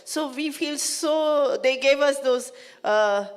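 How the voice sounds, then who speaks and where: A woman's voice: high voice, takes it slowly. A woman with an Indian English accent, moderating a conference session in a chatty, hesitant way. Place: conference hall. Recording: reverberant hall.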